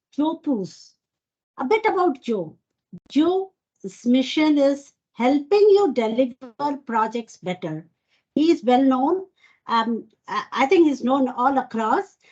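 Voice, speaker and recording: A woman hosting an online event, thanking and introducing speakers in a husky voice. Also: high voice, big pitch swings, takes it slowly, a little mellow. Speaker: event host. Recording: muffled lo-fi video call.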